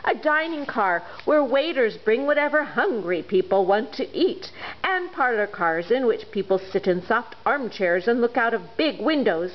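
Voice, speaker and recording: A woman reads a children's storybook aloud with a bright, fairly high voice that swings up and down with expression. The recording is dull and lo-fi. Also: moderate pace. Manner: expressive, lively reading.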